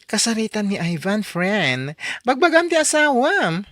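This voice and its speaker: A woman's voice: medium pitch, sing-song, unhurried, fairly bright tone, no room sound. Woman acting pushy Ilocano friend, recorded dry. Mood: insistent. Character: pushy, advising friend of a young wife. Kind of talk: Ilocano audio drama acting.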